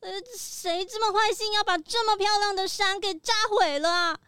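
A woman's voice: very high voice, steady tone, normal speed, pushed and bright. A woman playing a small, timid, worried animal tourist in a children's radio drama. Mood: distressed.

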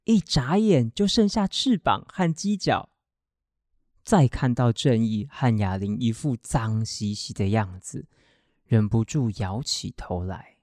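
A man's voice: mid-range voice, animated, slow, a little mellow, dry recording. Male storyteller, radio drama narration: mid-range voice, scripted read, dry studio sound.

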